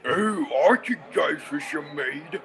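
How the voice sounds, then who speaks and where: Teen boy as a high-pitched boisterous mead lover. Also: expressive, slow, creaky, fairly bright tone, exaggerated voice. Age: teens. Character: eager, mead-loving, boisterous male fantasy traveler. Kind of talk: student radio theatre acting.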